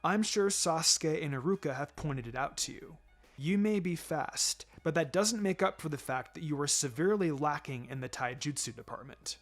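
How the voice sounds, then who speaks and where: A man voicing a calm, firm male ninja teacher in a story, instructing in a measured, matter-of-fact way. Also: a little high. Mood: stern. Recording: music under the voice.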